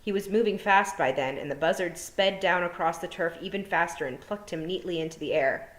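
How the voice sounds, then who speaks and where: A woman's voice: fairly low pitch, fairly quick. A woman narrating a children's fantasy novel as a storytime reader. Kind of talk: storybook narration read aloud. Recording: roomy echo.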